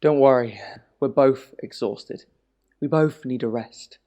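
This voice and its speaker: A man playing a controlled, ruthless government minister in an audio drama, with a measured, coaxing way of talking. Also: medium pitch, dark tone, natural voice. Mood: soothing. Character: calm, ruthless male government minister hiding a conspiracy.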